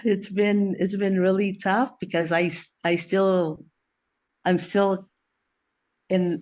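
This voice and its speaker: A woman who is from El Salvador and was raised in the US speaks as a friend at a memorial. Her tribute is informal and heartfelt. She moves through it haltingly, often repeating a word or two before going on. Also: fairly low pitch, a little mellow. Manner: halting, repeats words while searching.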